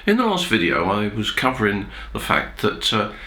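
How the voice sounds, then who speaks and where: Animated low-voiced man explaining, echoey room. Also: moderate pace, over music. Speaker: amateur radio video host. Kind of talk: informal technical explainer. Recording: roomy, echoey indoor sound.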